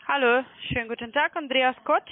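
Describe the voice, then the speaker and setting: A woman speaks as a call centre agent on a sales call. Her voice is very high, with a non-native, foreign accent. She sounds close and dry through a narrow phone connection. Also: a little fast, no echo. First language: not German.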